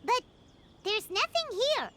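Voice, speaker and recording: Tiny fairy girl sidekick, extremely high squeaky voice, very animated, expressive, video game character, noisy background. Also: slow pace, full character voice. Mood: puzzled. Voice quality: squeaky, childlike. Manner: exclamatory, speaks of herself in third person. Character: small childlike fairy girl companion, chirpy and expressive.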